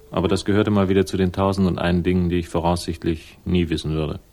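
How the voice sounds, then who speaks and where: A man's voice: low-pitched, natural voice. An actor playing a laconic, ironic investigator who narrates his case in a 1960s German crime radio drama. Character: wry, hard-boiled male investigator narrating his case. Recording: music behind the voice.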